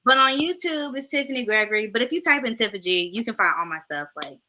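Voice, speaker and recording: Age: late 20s. Sex: woman. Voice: high and bright. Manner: playful guest. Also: expressive, quick pace. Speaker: podcast guest. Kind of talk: casual live-stream chat.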